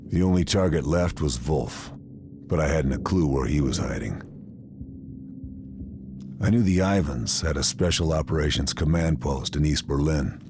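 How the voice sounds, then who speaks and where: An actor playing a hardened American wartime sniper officer, narrating a war game in a terse, world-weary, laconic manner. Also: male voice, a bass voice, normal speed, pauses often, background noise. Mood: terse, resolute. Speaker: narrator and protagonist.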